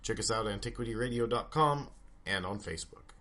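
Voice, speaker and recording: Bright-voiced male radio host, lowish pitch. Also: a little fast. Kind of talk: casual scripted-free hosting chat.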